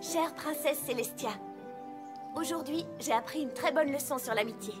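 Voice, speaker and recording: A studious young unicorn mare from a children's cartoon, acted by a woman, with a high voice. The character speaks earnestly and modestly, explaining things kindly. Music plays clearly behind the voice. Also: normal speed, close to an everyday voice. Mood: warm, reflective. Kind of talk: French-dubbed children's cartoon acting.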